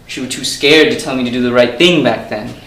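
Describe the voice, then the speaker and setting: A man's voice: mid-range voice, fast, a bit bright, close to an everyday voice. A man playing a remorseful mob boss who angrily rants at his family, in an amateur stage play. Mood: furious. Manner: angry, ranting. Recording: echoey room, distant mic.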